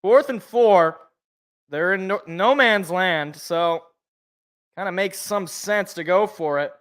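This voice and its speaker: A young man calling a football game play-by-play from a sports podcast, casual, with a slightly rough, sniffly voice from allergies. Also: high voice, takes it slowly. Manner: excited calls, casual asides. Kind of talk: live play-by-play commentary.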